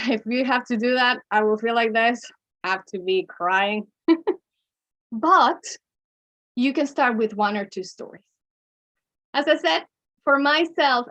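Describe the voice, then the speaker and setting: High-voiced Mexican woman giving a webinar. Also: dull audio. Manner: expressive, chuckles between points, speeds up and slows down. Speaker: keynote speaker and coach. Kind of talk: webinar presentation on storytelling.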